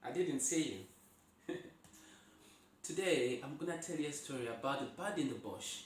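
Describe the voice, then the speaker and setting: A man from Uganda with a Ugandan accent and Luganda as his native language tells folktales in an animated way, speaking directly to his listeners. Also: fairly high pitch, a little slow, slight background noise. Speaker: storyteller, host. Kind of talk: oral folktale storytelling. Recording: echoey room, far from mic.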